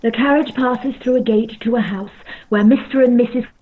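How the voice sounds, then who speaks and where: A woman with a husky voice reading scripted narration for a radio play. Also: on the high side, moderate pace. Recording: dull, lo-fi sound.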